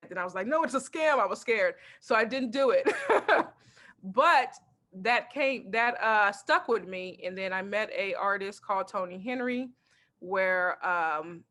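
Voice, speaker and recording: A woman stand-up comedian from Texas, chatting in an interview and telling animated stories about her family while acting out quotes and laughing often. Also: mid-range voice. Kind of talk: casual interview chat.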